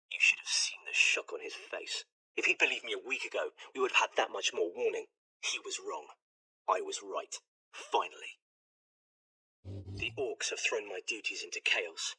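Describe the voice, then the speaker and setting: A piercingly bright, husky male voice plays an anxious tech-priest in a science-fiction game. He confides in his duty log with brooding resentment. The delivery is defensive and confessional. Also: on the low side, full character voice, poor audio. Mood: stressed, bitter. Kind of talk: sci-fi audio log acting.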